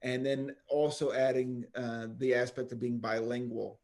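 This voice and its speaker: A man's voice: mid-range voice, level tone, moderate pace, a little mellow. A man hosting a podcast interview, eager and enthusiastic as he welcomes his guest. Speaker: podcast host.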